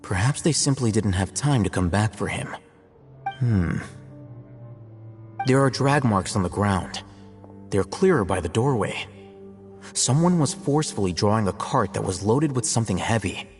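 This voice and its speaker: An actor playing a composed, analytical male scholar and scribe in a game, explaining his deductions in a calm, measured, lecturing way. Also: on the low side, close to an everyday voice. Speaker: academy scribe. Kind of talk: game cutscene voice acting. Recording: reverberant with game music.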